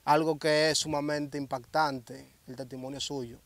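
A young man asking interview questions in a measured, earnest way, outdoors on a handheld mic. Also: a little high, little pitch movement, no room sound. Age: young adult. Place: outdoors under a tree in a grassy field.

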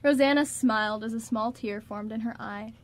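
A woman's voice: on the high side, normal speed. A woman reading scripted narration for a radio drama.

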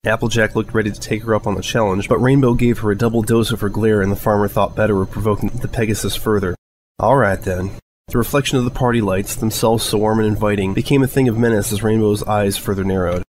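A man's voice: on the low side, fairly even intonation, fast, hardly any pauses, darkish tone, a little hiss or hum, low-quality recording. A man narrating a scripted piece of fan fiction as a storyteller.

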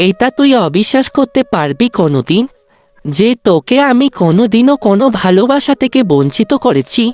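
একজন মহিলা কার্টুন গল্পে ক্লান্ত, ধৈর্যশীল, স্নেহশীল মাঝবয়সী বাবার চরিত্রে কণ্ঠ দিচ্ছেন, কথায় কোমল অনুনয়।. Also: female voice, medium pitch, a little mellow, close to an everyday voice. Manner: weary, pleading, tender. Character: tired, loving, patient middle-aged father. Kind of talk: Bengali cartoon story voice acting.

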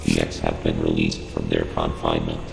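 Super low crackly robot ai for a game, totally flat monotone, cold mission briefing. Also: male voice, fairly quick, creaky, heavily acted. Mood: cold, measured. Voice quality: synthetic, robotic. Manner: formal, clipped, emotionless, instructing. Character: cold, analytical male computer intelligence briefing a hunter. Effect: robotic voice processing. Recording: echoing reverberant game audio, loud ambient noise.

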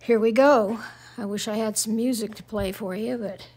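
An older woman with a raspy voice, calmly talking through a watercolor demonstration, sometimes dropping to a near whisper. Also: a little high, moderate pace. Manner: soft-spoken, careful, drifting into near-whisper while working. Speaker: watercolor painting teacher. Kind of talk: calm painting demonstration.

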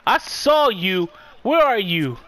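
Excitable young man, high and lively. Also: takes it slowly, no room sound. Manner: loud, excitable, swears often, laughs a lot. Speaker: gamer streaming his play. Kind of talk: casual gaming chat with a friend.

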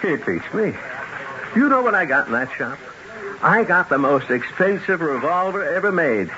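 Casting: grumbling, slangy gun dealer, very lively pitch, old radio drama, muffled noisy recording. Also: male voice, normal speed, a crowd in the background. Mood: brash, boastful. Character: irritated, talkative gun shop owner, a man. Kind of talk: 1970s radio drama acting.

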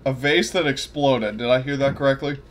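Casual man with a medium-pitched voice. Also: fairly quick, slight background noise. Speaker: tabletop roleplaying player. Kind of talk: casual, joking game-table chat.

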